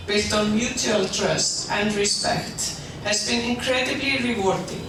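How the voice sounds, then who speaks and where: A woman from Iceland, a foundation director, giving a gracious, measured formal speech at a conference. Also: medium pitch. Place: conference hall. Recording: echoey hall from far off, noisy.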